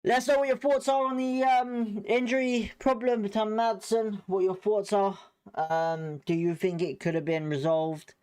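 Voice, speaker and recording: A man's voice: high voice, level tone, normal speed, darkish tone, clean tone. A man with a British accent giving informal live football commentary as a fan host, blunt, slangy and sweary in his reactions.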